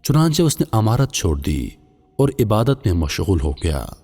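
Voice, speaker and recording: A man narrating a scripted religious moral tale as a storyteller, in a measured, solemn manner. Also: lowish voice, sing-song, a little mellow, husky, soft background music. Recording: long hall-like reverb.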